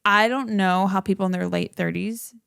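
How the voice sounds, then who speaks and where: A woman's voice: medium pitch, steady tone, moderate pace, gravelly, a bit bright, clear, resonant tone, dry recording, studio quality. A woman in her thirties, a podcast guest, chatting casually and laughing often. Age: 30s. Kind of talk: casual chat.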